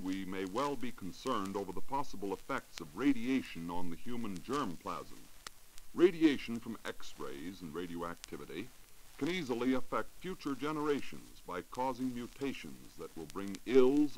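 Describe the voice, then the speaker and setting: A low-pitched American man delivers a scripted science report for 1940s radio. He explains earnestly, with lively rises and falls. A light hiss sits on the old recording. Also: moderate pace, rough recording. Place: radio studio.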